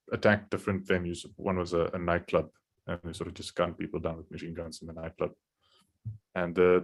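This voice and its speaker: Deep-voiced man, rambling explanation. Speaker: livestream guest. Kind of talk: casual discussion.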